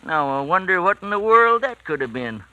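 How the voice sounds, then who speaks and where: A man's voice: sits high, big pitch swings, moderate pace, dull audio, over music. A man playing a grumpy, folksy old plant worker in a 1940s radio drama, griping away. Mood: grumbling. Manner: grumbling, folksy. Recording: bright, thin vintage 1940s radio recording.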